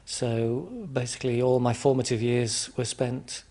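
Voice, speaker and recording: A man from England answering interview questions in a hesitant, reflective way, with frequent restarts and pauses. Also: medium pitch, completely flat delivery, dark tone. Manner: hesitant, many restarts and ums. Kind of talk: conversational interview.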